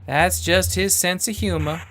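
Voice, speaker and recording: Man voicing a bossy old matriarch, pitched up. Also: measured pace, a bit bright, soft background music. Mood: dismissive. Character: old woman, bossy card-reading family matriarch.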